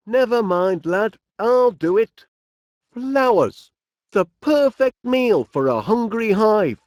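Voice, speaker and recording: A man's voice: sits high, a little mellow, no echo, studio quality, full character voice. A man playing a cheery, eccentric middle-aged inventor in a cartoon video game, with a Northern English accent and a dotty, genial, musing manner.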